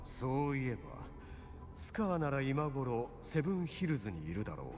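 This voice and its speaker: An actor playing a smug shapeshifting villain cleric in a game, composed, mocking and theatrical. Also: male voice, medium pitch, big pitch swings, fast, a little mellow. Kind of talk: Japanese game voice acting. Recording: long hall reverb, game music behind. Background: game music.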